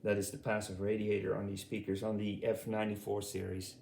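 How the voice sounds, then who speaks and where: Middle-aged man, low-ish mellow voice, halting casual hobbyist presentation, repeated words, roomy echo. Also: monotone, gravelly, a little hiss or hum. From Europe. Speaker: audio hobbyist presenting his speaker collection. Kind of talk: casual unscripted product walkthrough. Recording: echoey room sound.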